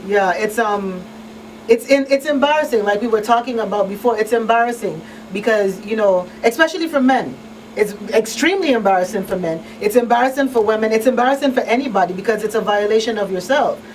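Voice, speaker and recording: A woman's voice: on the high side, expressive, fairly quick. A woman hosting an informal panel discussion, speaking emphatically and repeating points for weight as she gives advice. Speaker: radio talk show host and crisis counselor. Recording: distant microphone, noisy room ambience.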